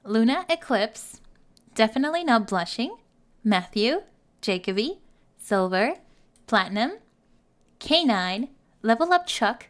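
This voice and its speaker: Woman doing her channel outro, reading shoutouts, kinda high voice, talking out loud. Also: sing-song, measured pace, long pauses. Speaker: ASMR channel host.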